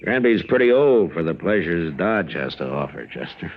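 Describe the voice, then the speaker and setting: A man with an American accent playing a calm, dryly teasing, laconic frontier marshal in an old-time radio western. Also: low-pitched, normal speed, full character voice. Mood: wry, easygoing. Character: seasoned, wry frontier US marshal, calm and dry. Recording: muffled, noisy old radio recording.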